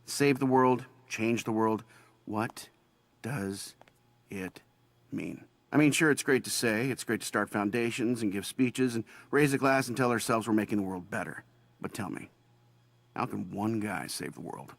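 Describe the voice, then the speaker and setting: A man's voice: on the low side, unhurried, gravelly, no echo. A man playing a glib billionaire superhero showman in a video game, speaking in a boastful, wry, conversational way. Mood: boastful, musing. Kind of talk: scripted speech in a game cutscene.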